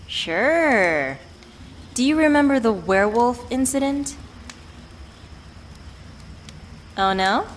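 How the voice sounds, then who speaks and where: A woman's voice: a little high, lively intonation, a little slow. A woman playing a worried, affectionate witch who tenderly scolds a beloved partner in an ASMR roleplay audio drama. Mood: teasing exasperation. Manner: playfully exasperated, tender scolding with sighs. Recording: clear background ambient noise.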